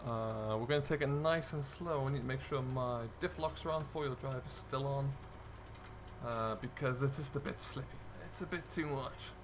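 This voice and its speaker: Tractor engine noise sits under a man with a mid-pitched voice. He narrates his gaming role-play casually, chatting and thinking aloud at an easygoing pace as he goes. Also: normal speed. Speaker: gaming video host.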